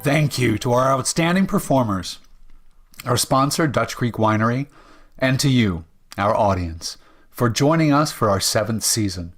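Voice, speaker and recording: A male announcer with a middling pitch. He delivers scripted host lines for a vintage-style radio show.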